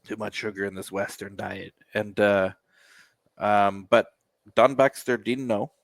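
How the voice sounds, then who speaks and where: A man's voice: lowish voice, fairly even intonation, a little slow, vocal fry, no echo. A man, co-hosting a casual music reaction show, joking and sarcastic as he rambles. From United States.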